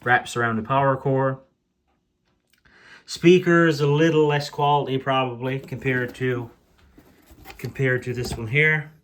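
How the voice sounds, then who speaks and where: Slow-talking man with many pauses. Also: mid-range voice, fairly bright tone. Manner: slow, hesitant, many fillers and restarts. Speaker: radio collector. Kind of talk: casual show-and-tell commentary. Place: indoors, home room with radios on floor.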